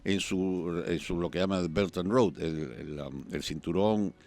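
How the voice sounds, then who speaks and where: Un periodista peruano, invitado en un programa de debate político, que habla pausado y piensa en voz alta entre muletillas. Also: male voice, low-pitched, a little mellow. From Peru. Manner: measured, thinking aloud with pauses and fillers. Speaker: journalist guest. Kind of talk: political talk-show discussion.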